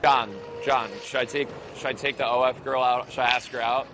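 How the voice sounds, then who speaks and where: A man co-hosting a casual livestream, loud and joking. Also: medium pitch, little pitch movement, fairly quick, a crowd in the background. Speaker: co-host.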